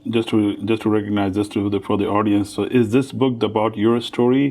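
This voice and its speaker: A man's voice: lowish voice, level tone, brisk, darkish tone. A man hosting a talk show, introducing his guest and asking questions with frequent restarts. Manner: hesitant, with repeated restarts.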